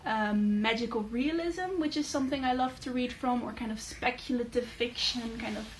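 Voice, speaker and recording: A young Dutch woman, a native Dutch speaker, chatting casually in a vlog with many fillers and thoughtful pauses. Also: a little high, a little hiss or hum. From Netherlands. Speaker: book channel host. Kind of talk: casual talking-head vlog.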